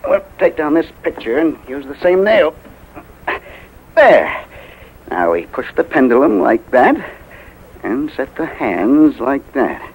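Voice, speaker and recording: Male neighbor, 1950s radio drama: friendly, wry, medium pitch, lively inflection. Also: slow, pauses often, slightly rough, heavily acted, rough recording. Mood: hurried. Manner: easygoing, wry. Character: friendly, teasing shopkeeper neighbor Bill.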